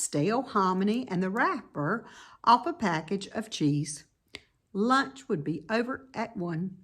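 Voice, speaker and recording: Woman, storybook narrator, unhurried, very lively intonation, mid-pitched, deliberate emphasis. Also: breathy edge. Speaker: storybook reader. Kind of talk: expressive read-aloud narration for children.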